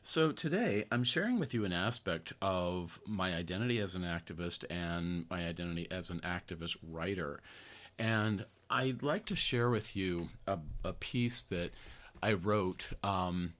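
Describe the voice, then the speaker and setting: An older American man hosting a podcast, reading his own article aloud in an earnest, deliberate way with conversational asides. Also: low voice, fairly bright tone. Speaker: podcast host, therapist and activist writer.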